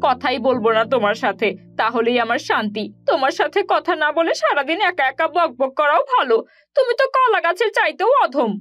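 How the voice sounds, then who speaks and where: A woman voicing a sharp-tongued, teasing village wife in a cartoon fairy tale. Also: high-pitched, animated, fairly quick, no echo, muffled recording, faint music behind. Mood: scornful. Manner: scolding, teasing.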